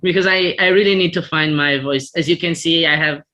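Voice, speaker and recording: Hesitant man with a high, bright voice. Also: steady tone, a little fast. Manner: hesitant, self-conscious. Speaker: interviewer. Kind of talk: casual interview talk.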